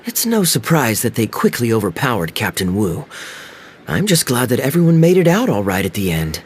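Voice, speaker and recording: An actor playing a sly, charming male knight captain in a video game, smooth and teasing. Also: medium pitch, huge pitch swings, moderate pace. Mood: playful.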